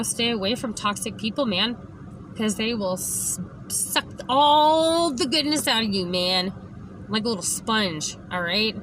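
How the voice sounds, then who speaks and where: Hoarse woman ranting straight to camera. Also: mid-range voice. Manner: emphatic, keeps adding "okay?". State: hoarse, lost voice. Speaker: vlogger. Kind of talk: direct-to-camera rant. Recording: background noise.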